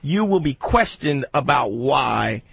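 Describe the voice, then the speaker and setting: A man's voice: mid-range voice, expressive, takes it slowly, gravelly, breathy edge, dry recording. A man on a casual radio panel, insistently restating and pressing his point. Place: dry radio studio. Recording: dull, band-limited radio audio.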